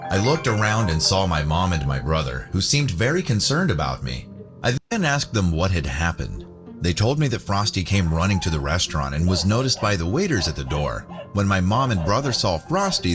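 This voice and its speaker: A man with a very low-pitched voice narrates a scripted personal story. His delivery swings noticeably up and down in pitch, and music plays clearly behind him. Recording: music bed under the voice. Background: background music.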